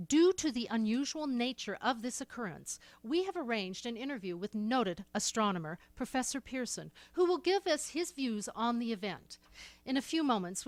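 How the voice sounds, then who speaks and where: A woman's voice: a little high, no echo, natural voice. A woman playing a formal news announcer. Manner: formal, crisp bulletin delivery. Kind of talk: 1930s radio drama acting.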